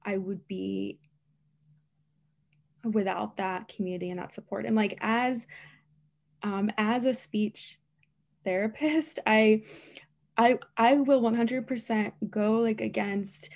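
A woman's voice: mid-range voice, gravelly, a little mellow. A woman who stutters, a speech-language pathologist answering interview questions in an earnest, emphatic way, with frequent repetitions and blocks.

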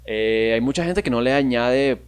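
A man's voice: medium pitch, moderate pace, clean tone. A young man from Maracaibo with a Venezuelan maracucho accent, hosting a casual solo podcast, rambling and joking at himself. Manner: rambling, self-mocking, with frequent fillers and laughs. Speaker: podcast host.

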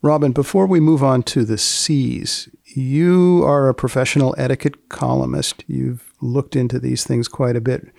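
Male host, lowish creaky voice, dryly mock-formal, close dry recording. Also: steady tone, moderate pace, hardly any pauses, darkish tone, no room sound. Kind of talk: wry podcast hosting.

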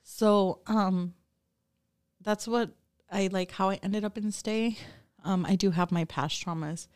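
Young woman with a smooth, clear voice. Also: medium pitch, fairly even intonation, takes it slowly, no echo, clean studio sound. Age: early 20s. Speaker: podcast guest, program client. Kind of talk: casual personal conversation.

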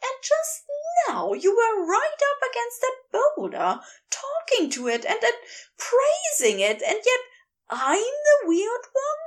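Woman as fretful gentleman teacher, high lifted voice, animated, prim, wordy, storybook character. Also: slow pace, heavily acted. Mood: indignant, flustered. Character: fussy, indignant, excitable man, prim schoolteacher.

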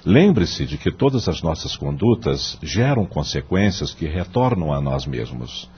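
An actor playing a wise, kindly male law professor in a radio drama, with a Brazilian accent and a husky voice, measured and moralizing. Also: a bass voice, sing-song, lo-fi sound, slight background noise, full character voice. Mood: earnest, didactic. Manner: didactic, measured, moralizing, rhetorical questions.

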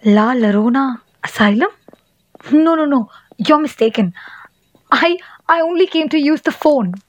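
A woman's voice: high voice, lively intonation, a little slow, slight background noise. A woman acting as an agitated, pleading wife, stranded and desperate for a telephone, in an audio play. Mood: alarmed.

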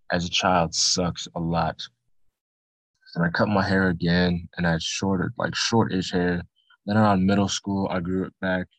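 A teenage boy with a very deep voice talks in a relaxed, rambling way during a casual group chat. His delivery stays low and fairly level, with little rise and fall. The sound is dry, with no room echo. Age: teens. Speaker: student.

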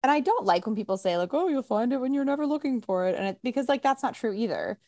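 A woman's voice: a little high, lively intonation, quick pace, darkish tone, dry recording, studio quality. A woman hosting a podcast, talking casually and emphatically with strong opinions and a lot of "like". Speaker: podcast host. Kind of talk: casual conversational podcast talk.

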